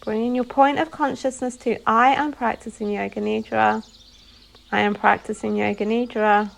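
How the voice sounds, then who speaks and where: A woman leading a guided meditation as a yoga nidra instructor, giving soothing instructions phrase by phrase with pauses. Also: a little high, fairly even intonation, creaky, poor audio.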